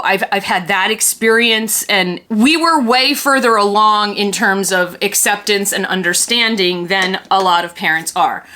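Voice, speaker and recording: A woman's voice: on the high side, pushed and bright. A woman in her fifties chatting earnestly while unboxing dolls, with many restarts and asides. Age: 50s. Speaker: doll collector vlogger. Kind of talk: casual chat while unboxing.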